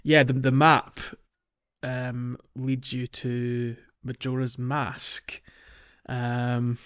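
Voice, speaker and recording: A man's voice: medium pitch, level tone, extremely slow, a bit bright, clear, resonant tone, highs cut off. A man co-hosting a podcast, chatting casually about a video game. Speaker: podcast co-host.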